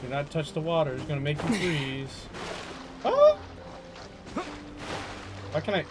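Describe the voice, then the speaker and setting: A man's voice: fairly high pitch, huge pitch swings, measured pace. A young man giving casual, slangy gameplay commentary, often talking to himself as he plays. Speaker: video game streamer. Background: game music.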